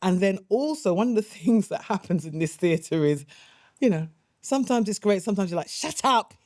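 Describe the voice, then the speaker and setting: A woman's voice: medium pitch, expressive, normal speed, a little mellow, dry recording, studio quality. A middle-aged woman, an actress and playwright, chatting animatedly and laughing often in an interview. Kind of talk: interview, reminiscing.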